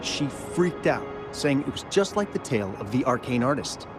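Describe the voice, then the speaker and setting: An actor playing a friendly, excitable miner who chattily recounts what he witnessed in a video game. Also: medium pitch, moderate pace, close to an everyday voice. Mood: spooked, eager. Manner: animated, chatty, hesitant in places. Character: friendly excitable male miner, eager eyewitness. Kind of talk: video game story dialogue. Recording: music clearly behind the voice.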